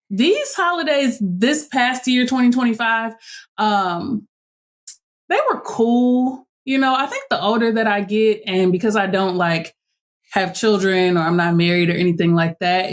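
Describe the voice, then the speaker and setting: A woman in her late thirties from Chicago, hosting a podcast in a relaxed, chatty way and laughing easily. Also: medium pitch, a little slow. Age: 30s. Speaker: podcast host. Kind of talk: casual chat.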